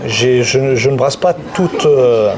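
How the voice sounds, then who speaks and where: A man's voice: mid-range voice, slow pace, a crowd in the background. A man from France, a native French speaker, chatting as an interview guest, hesitant, with many fillers and restarts. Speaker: guest, founder of an outings guide. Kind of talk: casual interview conversation.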